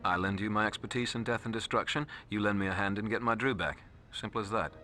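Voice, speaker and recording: A man's voice: on the low side, fast talker, sharp tone, soft background music. An actor playing a sardonic, cocky male vampire with a British accent, speaking in a sneering, slangy, mocking way. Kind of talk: video game voice acting.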